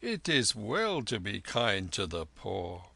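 A man voicing a fat, smug moneylender with an oily, drawn-out manner. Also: fairly low pitch, huge pitch swings, vocal fry, pushed and bright, slightly rough, dry recording, heavily acted.

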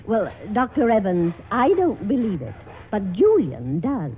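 An actress playing a shrewish, scheming aunt, prim and insistent, in a 1940s radio comedy. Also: female voice, medium pitch, huge pitch swings, measured pace. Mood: sardonic, insistent. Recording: tinny, band-limited old radio broadcast.

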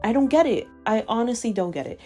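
A woman's voice: fairly high pitch, fast. A woman in her twenties, from Ecuador with Spanish as her first language, hosting a casual podcast and ranting emphatically, often tagging on "okay?". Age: 20s. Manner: emphatic and animated, keeps asking "okay?". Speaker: podcast host. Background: faint chill background music.

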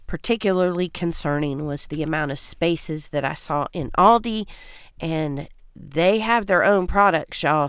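A woman talks folksy and chatty, her voice stuffed up and congested. It sits on the lower side, smooth and clear, recorded close and dry. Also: dry recording. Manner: folksy, chatty, says y'all. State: congested from allergies. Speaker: podcast host. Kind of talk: casual homesteading podcast chat.

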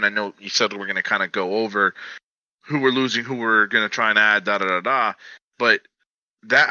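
A man's voice: medium pitch, bright, forceful tone, no room sound, lo-fi sound. A man who coaches football, talking emphatically on a sports podcast. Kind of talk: casual sports podcast talk.

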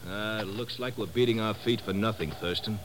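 An actor playing a blunt, suspicious, plain-spoken pilot in 1950s radio drama style. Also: male voice, fairly low pitch, normal speed, background noise, close to an everyday voice. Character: blunt, suspicious American bush pilot, quick with theories.

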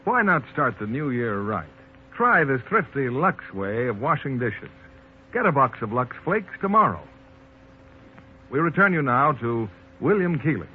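A man's voice: mid-range voice, very animated, unhurried. A man playing an upbeat, wondering crystal-gazer in a 1940s radio commercial, speaking like an announcer. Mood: persuasive. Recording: tinny 1940s radio with hiss.

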